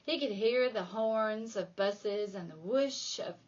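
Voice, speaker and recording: Slow, expressive woman reading a storybook. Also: medium pitch, muffled recording. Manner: dramatic emphasis, drawn-out key words. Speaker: primary school staff member reading aloud. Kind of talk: expressive children's storybook narration.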